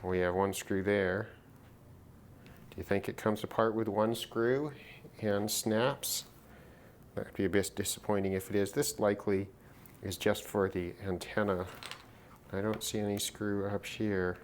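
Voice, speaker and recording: A man giving casual, unscripted commentary on a hands-on repair, halting and musing to himself as he works. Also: on the low side, steady tone. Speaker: host of a hobby radio repair video. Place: at a desk, indoors. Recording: clear background noise, handling clicks.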